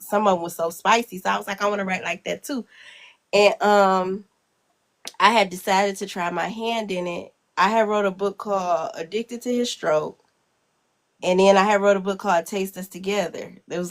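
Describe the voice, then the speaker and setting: A woman author getting over a cold, sounding a bit congested, talking in a rambling, hesitant way with many fillers over a video call. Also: medium pitch. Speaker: guest author. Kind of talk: casual interview chat.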